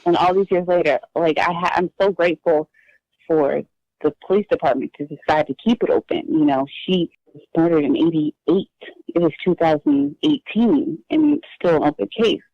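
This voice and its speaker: A woman guest telling her adoption story in a personal interview, hesitant and halting, with frequent restarts. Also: on the low side, lively intonation, dry recording. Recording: dull lo-fi dry recording.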